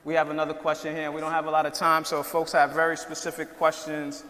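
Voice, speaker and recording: A man's voice: fairly high pitch, monotone, quick pace, pushed and bright. A man moderating a public panel, politely steering the audience between questions. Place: large hall with an audience. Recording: reverberant hall, faint crowd noise.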